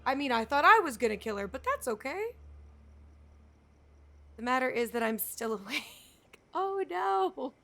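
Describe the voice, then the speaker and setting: High-pitched woman streaming a game. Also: big pitch swings, measured pace, gravelly, long pauses, a bit bright. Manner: informal, chatty, wry asides. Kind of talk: casual live gameplay commentary. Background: game music.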